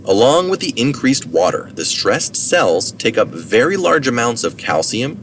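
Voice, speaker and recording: A man's voice: mid-range voice, big pitch swings, normal speed, fairly bright tone. A man reading a science article aloud as narration, with informal asides for citations. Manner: informal asides for citations, occasional self-correction. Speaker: reader of articles. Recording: distant mic, noticeable background noise.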